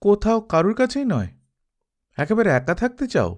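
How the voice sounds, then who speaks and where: A man's voice: high-pitched, wildly swooping pitch, moderate pace, pitched above the performer's own voice. Man reading as a naive, bewildered young man. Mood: bewildered, questioning. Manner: earnest, questioning. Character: naive, bewildered young brother-in-law, earnest and curious. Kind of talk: dramatised audiobook reading.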